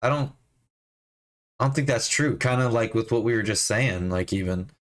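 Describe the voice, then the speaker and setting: A man's voice: lowish voice, normal speed. A man co-hosting a casual discussion podcast, talking loosely with many restarts and some laughter. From United States. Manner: chatty, laughing, lots of restarts. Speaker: co-host.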